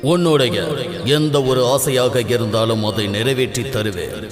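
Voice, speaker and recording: A grand cartoon genie, speaks extremely slowly and reassuringly. His booming words ring with long reverb over clear background music. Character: big laughing male genie spirit granting wishes. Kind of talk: children's cartoon voice acting. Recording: echoing reverb with music behind.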